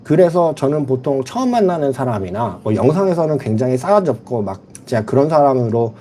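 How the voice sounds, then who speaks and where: A man's voice: medium pitch, very animated, fairly quick, dark tone, slight background noise. A middle-aged man hosting a casual livestream, answering viewer comments bluntly and frankly. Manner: blunt, reads chat comments aloud and replies frankly. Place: lives in the Philippines.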